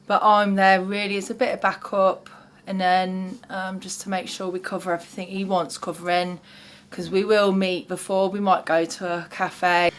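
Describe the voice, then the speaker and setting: A woman with an English accent chats warmly about the young man she works with, dotting her talk with fillers. Her voice sits at an ordinary middle pitch. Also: flat delivery, moderate pace. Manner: warm, chatty, with fillers. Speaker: advocate. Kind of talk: interview testimony.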